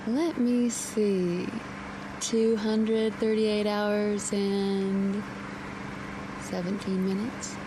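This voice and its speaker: An actress playing a sharp, questioning and playful young newlywed wife in a radio drama. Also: female voice, mid-range voice, extremely slow. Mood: playful, affectionate. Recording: steady car road noise behind.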